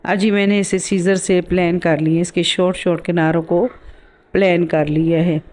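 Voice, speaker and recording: A woman's voice: lowish voice, flat delivery, moderate pace, a little mellow. A woman with a sore throat giving a casual voice-over for a DIY craft tutorial as the host.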